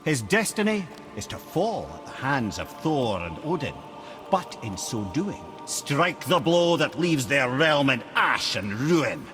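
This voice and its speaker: A worldly old storyteller from a fantasy game. His delivery is theatrical and wry, swinging widely in pitch as he spins myths, with music behind him. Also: sing-song, a little slow. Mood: lyrical, solemn. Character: witty, worldly old male storyteller sage.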